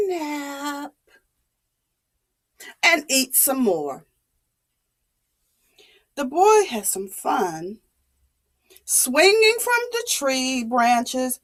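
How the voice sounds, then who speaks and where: Woman, children's storyteller, high voice, very slow pace, dramatic, expressive, swooping intonation. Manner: dramatic, expressive, deliberate delivery. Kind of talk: animated storytelling for young children.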